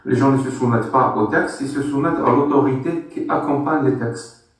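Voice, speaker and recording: Calm questioning man, echoey and far. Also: medium pitch, brisk. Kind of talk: live online debate. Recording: distant, echoey sound.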